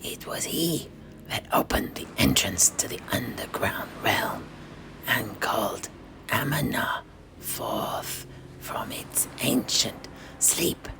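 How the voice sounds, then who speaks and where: A man voicing a servile, ominous blind seer in a breathy, creaky whisper, halting and repeating himself. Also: fairly low pitch, lively intonation, full character voice. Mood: ominous pleading. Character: creepy, servile blind old seer with gnarled staff.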